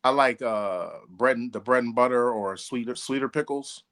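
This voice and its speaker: A man's voice: mid-range voice, a bit bright. Male podcast co-host, casual and playful, loose profane banter, laughs easily. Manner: playful, profane, quick to crack up.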